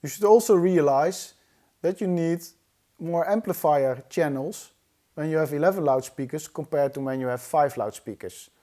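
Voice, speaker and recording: A man giving an explanatory tutorial in a measured, didactic way, as an instructor. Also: on the high side, warm tone. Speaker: audio-video instructor.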